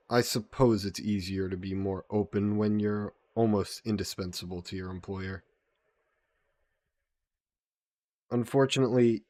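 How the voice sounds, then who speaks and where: Low-voiced, courteous male vampire played by a man. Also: a little mellow, dry recording, natural voice. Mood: wry, chatty. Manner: polite, formal, hesitant phrasing. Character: gentle, guilt-burdened male vampire employer caring for a guest. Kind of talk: intimate audio roleplay acting.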